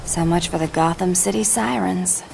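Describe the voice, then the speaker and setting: A woman's voice: on the low side, normal speed, gravelly, noisy background. A woman playing a tough, loyal antiheroine with a wry edge in a video game cutscene. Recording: music behind the voice.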